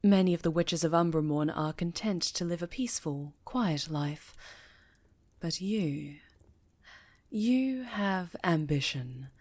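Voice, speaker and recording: Woman playing a low-voiced, imperious high enchantress. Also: a little mellow, dry recording. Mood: solemn, encouraging. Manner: formal, imperious, scolding. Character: stern, exacting high enchantress witch, mentor to pupil. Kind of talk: fantasy ASMR roleplay acting.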